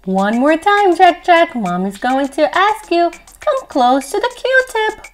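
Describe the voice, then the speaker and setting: High-pitched, coaxing cartoon mommy played by a woman. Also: big pitch swings, slow pace, exaggerated voice. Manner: singsong, coaxing baby talk. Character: playful, coaxing cartoon superhero mommy of a toddler. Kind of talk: children's toy role-play storytelling.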